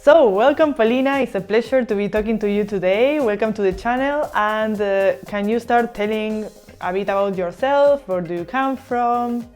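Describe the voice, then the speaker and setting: A woman's voice: mid-range voice, normal speed, a little mellow, faint music behind. A young woman from Uruguay, a native Spanish speaker, hosting an online interview show and putting questions to a guest. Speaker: channel host and interviewer. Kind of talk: friendly video interview introduction and questions.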